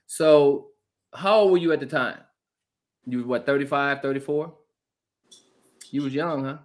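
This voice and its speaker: A man's voice: mid-range voice, unhurried. A man hosting a casual interview, teasing his guest and repeating his questions. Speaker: interviewer, host.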